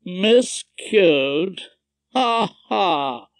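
A man's voice: sits high, lively intonation, takes it slowly, no echo, pitched above the performer's own voice, heavily acted. A man voicing a pompous, condescending older male judge in an audiobook, with a haughty drawl. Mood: smug.

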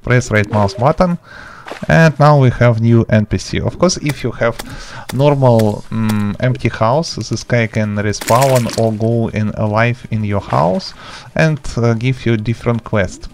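A man's voice: mid-range voice, a little hiss or hum. Man giving a game tutorial. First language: not English. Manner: halting, simple step-by-step instructions in non-native English. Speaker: gaming tutorial host. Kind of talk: informal video game tutorial commentary. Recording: music behind the voice. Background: background music.

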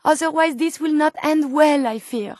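An actress playing a polite, earnest, curious robot scientist with a French accent in a video game, speaking formally and precisely. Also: female voice, high voice, moderate pace, darkish tone, no echo, clean studio sound, lightly acted. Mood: earnest concern. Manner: formal, precise, with questioning tags. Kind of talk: video game character dialogue.

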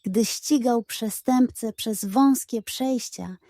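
A woman's voice: fairly high pitch, takes it slowly, mellow, dry recording, clean studio sound. A woman narrating a children's detective story in a scripted, dramatic and suspenseful storytelling style.

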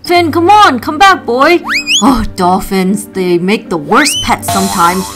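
A woman voicing a friendly, easygoing merman dad who calls out loudly to his pet. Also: on the high side, animated, a little slow. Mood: hearty. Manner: loud, calling out, hearty. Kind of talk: doll play storytelling. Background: children's music.

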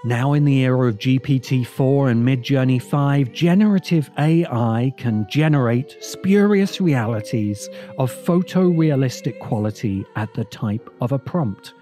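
Man, video essay narrator, scripted delivery, relaxed mellow tone, soft music bed. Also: medium pitch, measured pace, few pauses.

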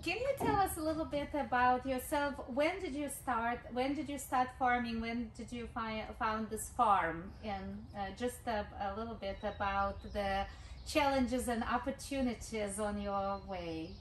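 A woman's voice: a little high, a little slow. A woman, hosting a casual farm vlog and interviewing a farmer in an upbeat, encouraging way. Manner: upbeat, encouraging, with many "mm-hmm" backchannels. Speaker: host and interviewer. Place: outdoors on a farm. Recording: roomy outdoor sound with faint noise.

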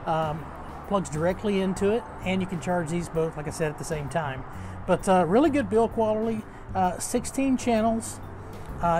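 A man in his thirties giving a casual, informal review to camera as host, with a halting delivery. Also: high-pitched, background noise. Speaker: product reviewer, channel host.

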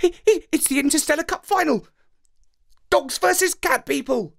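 A man voicing a pretty, chatty young female dog, an excited football fan in a storybook, in a light, high, breezy voice. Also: moderate pace, vocal fry. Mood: excited, eager.

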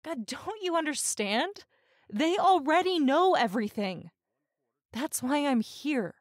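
Actress playing persistent cagey woman, wry, pleading, insistent, higher pitch, dry studio-like sound, naturalistic audio drama. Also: big pitch swings, slow, no room sound, full character voice. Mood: urgent. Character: persistent, cagey woman intruder seeking allies, former schoolgirl.

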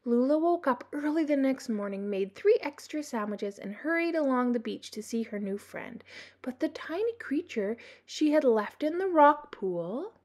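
Children's story reader: middle-aged woman, higher-pitched voice, expressive read-aloud delivery. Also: normal speed. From Canada.

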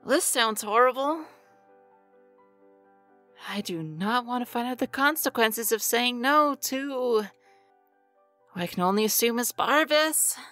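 Woman playing slow, hesitant kind-hearted mortal. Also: a little high, expressive, pushed and bright, no echo, soft background music, heavily acted. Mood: uneasy. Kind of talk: fantasy audio drama acting.

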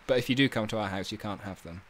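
A man's voice: lowish voice, rapid-fire. A man chatting casually and mock-seriously as he gives behind-the-scenes commentary on a puppet show. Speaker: puppeteer and writer giving commentary. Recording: music playing behind. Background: show soundtrack with music.

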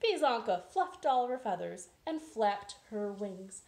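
A young woman presenting a children's storytime read-aloud in a playful, exaggerated, expressive way. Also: fairly high pitch, unhurried. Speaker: children's librarian storytime host. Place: living room at home. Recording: roomy echo, some background hiss.

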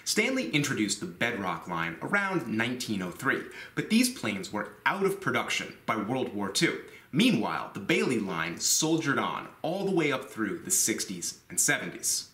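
A man's voice: on the low side, big pitch swings, moderate pace, pushed and bright, reverberant. A man in his thirties hosting a woodworking video, talking emphatically and candidly in a small workshop. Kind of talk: instructional voice-over and talking to camera.

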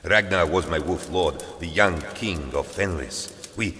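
An actor playing a grave, battle-worn space warrior, telling his tale in a measured, solemn dramatic style. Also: low-pitched, pushed and bright, slight background noise. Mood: troubled. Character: brooding battle-worn Space Wolf warrior recounting a lost battle. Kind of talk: dramatic audio-drama storytelling. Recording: long reverb with music underneath.

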